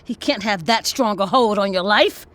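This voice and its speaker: A woman's voice: medium pitch, normal speed, fairly bright tone, lightly acted. A woman with an American accent playing a worried, confrontational lover in an audio drama, speaking in an emphatic, plain-spoken way. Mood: concerned, confronting. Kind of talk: naturalistic audio drama acting.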